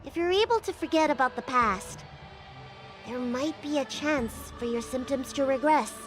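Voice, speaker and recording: Woman doing high bouncy kid healer, earnest and sure of herself, game dialogue, music under it. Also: normal speed, natural voice. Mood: puzzled, thoughtful. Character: earnest young girl dragon healer, bright and self-assured. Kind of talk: video game voice acting.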